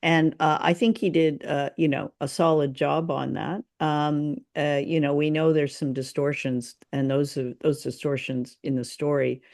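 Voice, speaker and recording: A woman's voice: low voice, flat delivery, a little slow, gravelly, few pauses, a little mellow. A woman from Canada co-hosting a podcast in casual chat, speaking in a wry, thoughtful and somewhat hesitant way. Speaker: podcast co-host. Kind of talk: casual chat reviewing a radio drama.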